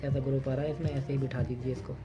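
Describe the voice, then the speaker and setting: A man hosting a repair tutorial, talking through each step at his workbench, briskly and with instructive repetition. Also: mid-range voice, little pitch movement, over loud music. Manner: brisk, instructive, repeats "fatafat" and "theek hai". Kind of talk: hands-on repair demonstration.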